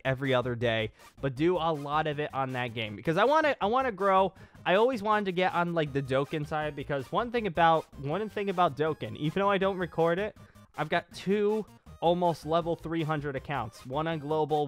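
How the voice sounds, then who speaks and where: A man in his twenties chatting casually and informally in a rambling channel update, as a gaming YouTuber. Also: mid-range voice. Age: 20s. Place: small home studio room with acoustic foam. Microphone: desk microphone on boom arm. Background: clear background music.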